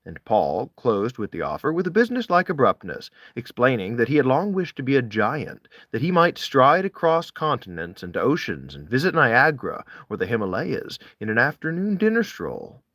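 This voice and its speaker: A man narrates literary prose in a medium-pitched voice. His intonation swings widely, with deliberate, dramatic phrasing. The sound is close and dry, as in an audiobook-style reading. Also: wildly swooping pitch, fairly bright tone, dry recording. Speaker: audiobook-style reader of classic essays. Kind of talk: literary read-aloud, expressive scripted narration.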